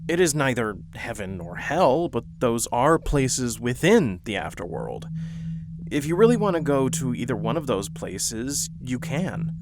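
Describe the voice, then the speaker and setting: A gentle male guide of the dead, as an actor voices him, talks slowly and hesitantly, stumbling over explanations. His pitch moves a lot, and a loud noise bed sits under the voice. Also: big pitch swings. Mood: reassuring. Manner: soft, hesitant, reassuring. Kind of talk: horror audio roleplay.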